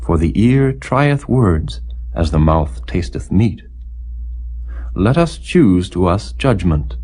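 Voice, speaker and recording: Husky, low-voiced man reading scripture. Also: sing-song, slow pace, a little mellow. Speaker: audiobook Bible reader.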